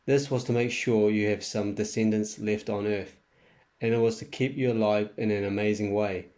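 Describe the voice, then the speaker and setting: A powerful governor speaks as an emotional, forgiving brother in an amateur Bible drama, acted by a man reading aloud. His voice sits a little low and he declaims earnestly, with the odd stumble. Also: steady tone, normal speed, darkish tone, natural voice. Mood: earnest, reassuring.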